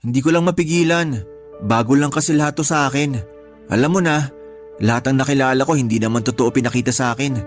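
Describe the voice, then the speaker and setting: An actress playing a jealous, insecure young lover with a Filipino accent in a radio drama, sulky and grumbling in manner. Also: female voice, rumbling low, quick pace, faint music behind. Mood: insecure, apologetic.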